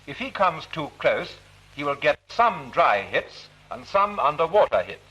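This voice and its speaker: A British man narrates a vintage training film in a formal, instructive way. His voice sits fairly high and moves in lively swings of pitch. The old soundtrack is dull and muffled. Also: unhurried, breathy edge. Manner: formal, didactic.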